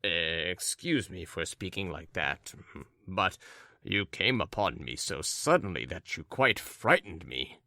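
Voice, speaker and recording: A man's voice: low-pitched, very animated, slow pace, creaky, a bit bright, dry recording, studio quality, voice pushed down, heavily acted. A man voicing an irritated, impatient young man in a story, terse and brusque with grudging politeness. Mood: impatient, curt.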